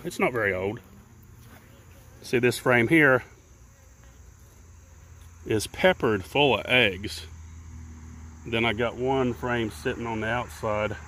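Man, mid pitch, folksy rambling beekeeping how-to, talks outdoors into a handheld camera. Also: fairly bright tone. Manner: rambling, repeats words, plain folksy speech. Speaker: beekeeper and channel host. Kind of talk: casual how-to talk while working hives. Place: outdoors beside beehives in a grassy yard. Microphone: handheld camera held by the speaker.

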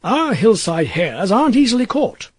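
A man voicing a fussy, self-important English gentleman, indignant, emphatic and easily flustered, in an audiobook. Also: high-pitched, sing-song, moderate pace, breathy edge, no room sound, clean studio sound, pitched above the performer's own voice, heavily acted. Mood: puzzled, sceptical. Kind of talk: audiobook character voice.

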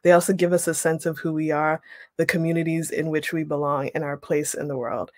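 A young woman leading an online writing workshop, reading a passage aloud and then explaining it with relaxed pauses over a video call. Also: sits low, dry recording, clean studio sound. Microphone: webcam on a video call.

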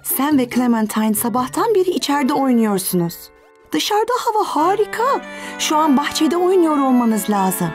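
A woman voicing a young boy's kind mother in a children's cartoon dub, gently instructive. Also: sits high, big pitch swings, normal speed, faint music behind. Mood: mildly scolding.

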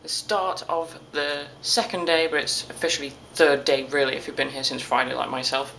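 A woman's voice: deep voice, flat delivery, moderate pace, a bit bright, low-quality recording. Young British woman, casual vlog, camera held at arm's length, small room, faint hiss. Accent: British English. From England. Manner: chatty, self-deprecating, tired. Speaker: vlogger. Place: small hotel room, indoors.

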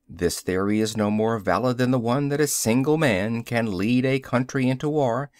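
A man's voice: mid-range voice, no echo, studio quality, natural voice. A man voicing an adult male social scientist who lectures with a confident, explanatory cadence in an audiobook. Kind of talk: audiobook character reading.

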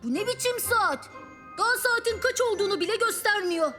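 Cheeky, very high-pitched cartoon boy. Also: animated, a little fast, sharp tone, soft background music, full character voice. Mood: cocky, playful. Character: cheeky lazy little boy, joking. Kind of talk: children's cartoon voice acting.

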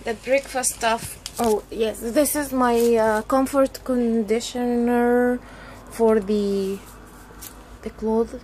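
A woman vlogger chatting casually and unscripted, haltingly searching for words as she shows things at home. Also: a little high, fairly even intonation, darkish tone. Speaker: vlogger showing a grocery haul. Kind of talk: unscripted casual show-and-tell chat. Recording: noisy handheld home recording with room ambience.

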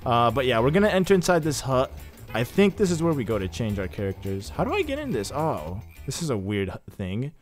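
Young man, gaming commentary, animated up-and-down voice, excitable chatter, faint game music behind. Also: medium pitch, a little fast. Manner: chatty, excitable, jokey asides. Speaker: gaming YouTuber. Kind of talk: casual let's play commentary.

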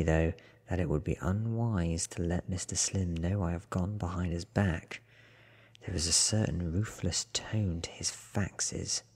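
A man in his thirties reading a book aloud in a soft, half-whispered ASMR style, with hushed, deliberate phrasing close to the mic. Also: low-pitched, vocal fry, husky. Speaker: audiobook reader.

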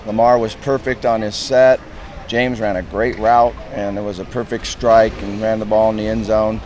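A man in his fifties, a head football coach, answering reporters outdoors at a stadium in a plain, measured way with lots of "you know" fillers. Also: fairly low pitch, flat delivery, darkish tone, slight background noise. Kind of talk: post-game press interview.

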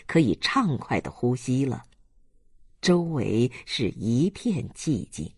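Slow, expressive audiobook narrator with husky voice. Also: medium pitch, no room sound, clean studio sound. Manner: measured, expressive. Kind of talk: scripted novel narration, slow dramatic storytelling.

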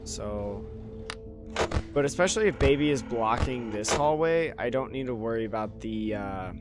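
A slightly hoarse man streaming a horror game, mumbling commentary to himself with many ums. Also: mid-range voice, animated, moderate pace. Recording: voice over loud game music.

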